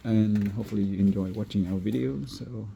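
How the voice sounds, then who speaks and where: A man who is not a native English speaker, presenting a car installation job in an informal, halting walkthrough. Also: on the low side, steady tone, vocal fry, noisy background. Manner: halting, hesitant phrasing. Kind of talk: informal workshop walkthrough video.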